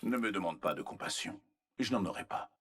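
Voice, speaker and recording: An actor playing a cold, arrogant, menacing scientist villain in a video game, speaking in a measured, condescending, clinical manner with a husky voice. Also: male voice, low-pitched, expressive, a bit bright, full character voice. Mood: detached menace. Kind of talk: scripted video game character voice lines.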